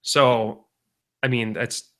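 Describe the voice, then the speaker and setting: A young man co-hosting a podcast, rambling through deadpan jokes in casual banter. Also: medium pitch, extremely slow. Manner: deadpan joking, rambling with restarts. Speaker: podcast co-host.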